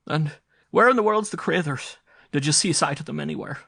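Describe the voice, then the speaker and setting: A man's voice: a little high, a little fast, gravelly, pushed and bright, pitched above the performer's own voice, exaggerated voice. A man reading aloud voices a frantic older Irish peasant widow, wailing and pleading in an Irish accent.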